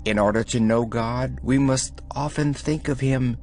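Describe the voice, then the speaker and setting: A man reading devotional letters aloud as an audiobook narrator, in a measured, solemn manner. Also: medium pitch, steady tone, a little mellow. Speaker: audiobook reader.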